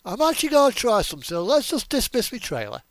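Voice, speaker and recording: A man's voice: extremely high pitch, huge pitch swings, fast, dry recording. A man giving casual, rambling game commentary, thinking aloud and trailing off as he plays. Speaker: streamer playing a truck simulator.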